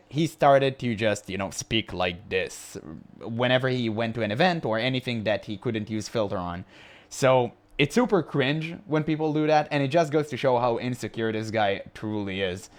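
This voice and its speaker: A man with a high voice, by his own account, hosting a reaction video. He talks casually, with a blunt and mocking edge, and laughs often.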